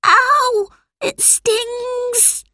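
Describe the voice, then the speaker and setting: A woman's voice: very high voice, level tone, slow pace, no room sound, studio quality, voice pushed up. A woman voicing a hurt, weak seven-year-old boy who whimpers in pain, in a storybook reading. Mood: hurt, whimpering.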